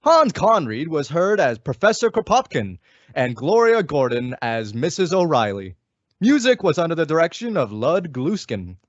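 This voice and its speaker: A man's voice: a little high, very animated, moderate pace, no room sound. A man as a 1940s-style radio announcer, reading scripted copy with emphatic, polished sales delivery. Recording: dull lo-fi audio.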